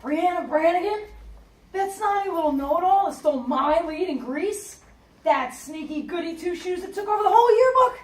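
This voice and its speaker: A woman's voice: very high-pitched, lively intonation, normal speed, far from the mic. A woman acting as a frazzled, short-tempered single mother, talking bluntly and sarcastically with plenty of swearing. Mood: scornful. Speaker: single mother waiting in a store line. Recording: echoey room, voice off-mic.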